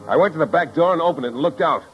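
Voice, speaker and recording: Guy acting tough wisecracking detective, old dull 50s radio sound, really expressive, clipped and wry. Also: on the high side, very fast, music in the background, lightly acted. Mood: tense, narrating. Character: hard-boiled, wisecracking private detective, man. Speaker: lead actor and narrator.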